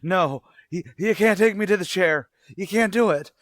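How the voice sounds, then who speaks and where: A man's voice: high-pitched, moderate pace, a bit bright, big-room sound. An actor playing a panicked innocent man condemned to death in a radio drama, stammering and pleading. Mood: terrified. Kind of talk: old-time radio drama acting.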